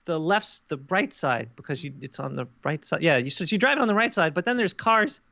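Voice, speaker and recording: Comedy podcast rat, male performer: crackly fry, offhand and halting, paranoid type, muffled lo-fi sound. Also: on the high side, expressive, pushed and bright, no room sound, heavily acted. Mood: casual, bemused. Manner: casual, halting, repeats himself, swears. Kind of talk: improvised in-character podcast chat.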